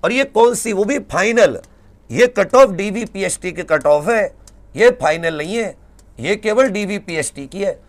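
A man's voice: sits high, animated, a little slow. A man, lecturing informally as a coaching teacher, exasperated and repeating his points with rhetorical questions. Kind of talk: informal lecture explaining exam cut-offs to students. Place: indoor teaching studio at a large digital board. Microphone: lapel mic.

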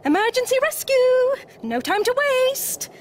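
An earnest little storybook mole, voiced by a woman, pitched very high and full of bouncy movement. He speaks in determined, exclamatory bursts, with faint noise behind him. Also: big pitch swings, unhurried, exaggerated voice. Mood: urgent. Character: small, earnest, eager male mole from a storybook.

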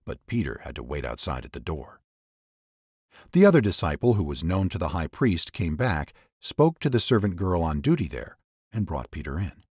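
A man reads scripture as a dramatic narrator. His low voice swings widely in pitch as he sets each phrase apart in measured storytelling. The recording is close and dry. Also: big pitch swings, husky, no room sound. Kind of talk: dramatic scripted Bible reading.

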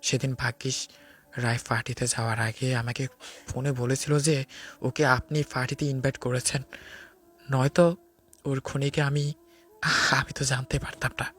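A man playing a stern, commanding investigator with a cold, vengeful streak in an audio drama, speaking deliberately. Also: medium pitch, fairly bright tone, lightly acted. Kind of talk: dramatised audio love-crime story. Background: music.

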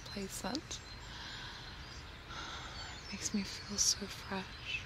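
Woman playing a hushed, very slow cult devotee. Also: medium pitch, fairly even intonation. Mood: serene, reverent. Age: 20s. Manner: hushed, slow, soothing, often whispering. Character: serene young female cult member preparing a sacrifice. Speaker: ASMR performer. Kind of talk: whispered dark ASMR roleplay. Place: outdoors among trees. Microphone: close binaural microphone. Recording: outdoor nature noise, birdsong.

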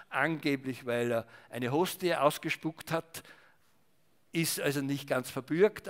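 An older man giving a rambling talk as a historian in front of a small audience, full of fillers and restarts. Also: a little high. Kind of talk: discursive public talk with slides. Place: salon event room with small audience. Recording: reverberant hall.

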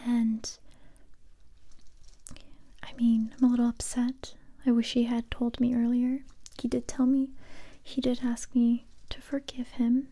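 A young woman playing a kind but wary survivor interrogating someone in a soft, intimate, close-up ASMR whisper. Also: a little high, little pitch movement. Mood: wistful. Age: young adult. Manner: slow, hesitant, intimate close-up delivery. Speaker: ASMR roleplay performer. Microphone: close mic, whispering near the listener.

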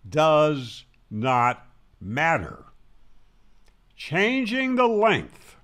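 A man's voice: on the high side, a bit bright. An American man in his sixties explaining to camera in a conversational, emphatic and wry way, close on the mic. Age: 60s. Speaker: host of a ham radio tutorial channel. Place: small home room with guitars, indoors. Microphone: close broadcast mic on a boom.

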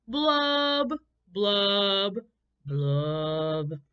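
A woman's voice: sits high, lively intonation, slow pace, sharp tone, muffled recording, pitched above the performer's own voice, exaggerated voice. A woman voicing a gloomy, sulky male fish, chanting his lines in a sing-song rhyme.

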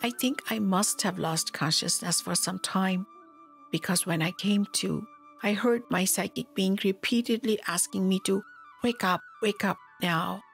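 A woman reading a scripted spiritual memoir aloud in a measured, solemn manner. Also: mid-range voice, creaky. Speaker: spiritual teacher, narrator. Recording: music behind the voice. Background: music.

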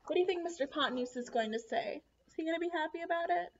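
A young woman reading a picture book aloud to children in an animated, expressive way. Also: very high-pitched, quick pace, dull audio, slight background noise. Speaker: children's read-aloud host. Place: small room at home.

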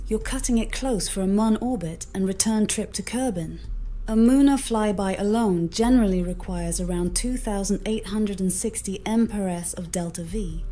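A woman's text-to-speech voice as an AI mission companion, formal, measured and articulate in explaining advice. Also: mid-range voice, dark tone. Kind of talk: formal advisory narration, text-to-speech assistant.

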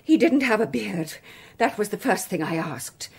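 A woman playing a fluttery, anxious, tender-hearted spinster with a husky, breathy voice in a radio play. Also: mid-range voice, expressive, moderate pace. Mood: upset, fussy. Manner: fussy, emotional, flustered. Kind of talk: radio drama acting.

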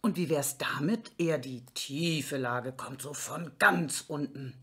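A woman playing a brisk, eager pharmacist with theatrical sales patter in a short radio play. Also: sits low. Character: brisk, eager female pharmacist selling laughter. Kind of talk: mini radio play dialogue in German.

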